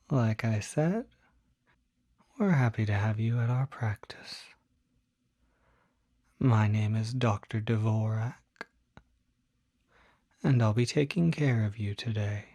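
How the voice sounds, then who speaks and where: A man playing a courteous demon doctor in an ASMR roleplay, speaking gently with a measured, politely formal bedside manner that often drops toward a whisper. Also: lowish voice, vocal fry, no room sound, heavily acted. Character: courteous, soft-spoken male demon doctor, fussy and reassuring. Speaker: doctor at a supernatural clinic.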